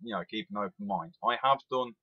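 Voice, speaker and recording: A man's voice: mid-range voice, a little fast, gravelly, phone-quality sound. A man, giving an opinionated, emphatic rant as a rail sim reviewer. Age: 20s. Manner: animated, emphatic, repeats itself.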